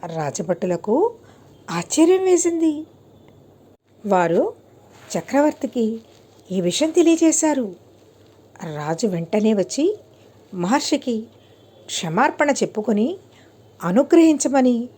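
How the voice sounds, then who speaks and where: A woman reads scripted moral stories for children. Her voice sits fairly high yet stays soft-edged and mellow. She takes her time, setting out short, deliberate phrases with frequent pauses. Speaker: storyteller.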